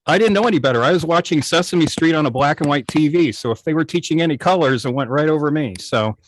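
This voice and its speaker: A man's voice: fairly high pitch, fast, a little hiss or hum, low-quality recording. A middle-aged American man telling personal anecdotes casually as a guest, conversational and lightly self-deprecating. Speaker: guest, old-time radio station operator. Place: indoors on a video call.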